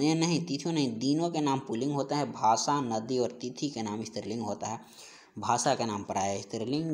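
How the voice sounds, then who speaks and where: A man's voice: mid-range voice, a little mellow. A man speaks as an exam-prep teacher. He poses questions and answers them himself. His delivery is explanatory and repetitive, restating key points for emphasis. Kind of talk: question-and-answer grammar lesson.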